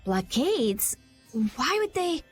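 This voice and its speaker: A woman playing a gentle, polite young noblewoman in a video game, earnest and anxiously questioning. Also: high voice, big pitch swings, slow pace, faint music behind, full character voice. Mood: worried. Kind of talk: video game cutscene voice acting.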